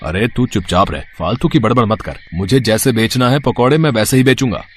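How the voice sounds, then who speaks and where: A man's voice: medium pitch, a little fast, slight background noise, close to an everyday voice. A man voicing a shameless, sly street fritter seller and husband in a cartoon moral story, gloating and dismissive. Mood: defiant, irritated. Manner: gloating, dismissive of his nagging wife.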